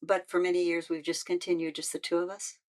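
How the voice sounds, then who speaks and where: An older woman, a musician speaking in a halting, heartfelt way with frequent restarts. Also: on the low side, level tone, a little mellow. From United States. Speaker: musician and peace activist. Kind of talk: personal reflective talk.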